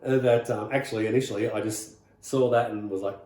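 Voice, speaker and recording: A middle-aged Australian man, a singer being interviewed, recalling stories in a rambling, relaxed way with many fillers and restarts. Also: medium pitch, a little fast, reverberant. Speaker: rockabilly singer, guest. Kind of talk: casual interview storytelling.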